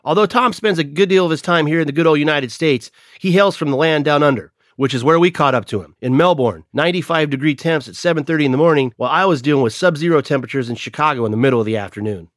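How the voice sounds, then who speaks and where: A man's voice: a little high, fast talker, hardly any pauses, a bit bright, no echo, studio quality. A man hosting a legal podcast, reading segment intros and asking guests questions. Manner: read-aloud segment intros. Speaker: podcast host. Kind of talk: scripted podcast narration and interviewing.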